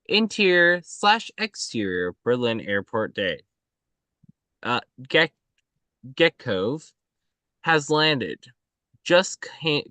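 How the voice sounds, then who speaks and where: Guy reading stage directions off a screenplay, halting and clipped, forceful tone, pretty dry sound. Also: mid-range voice, no room sound.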